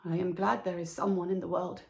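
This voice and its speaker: Storybook man, acted by a woman: disappointed, glum, quiet muttered delivery. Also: on the low side, flat delivery, a little fast, vocal fry. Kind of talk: storybook character voice.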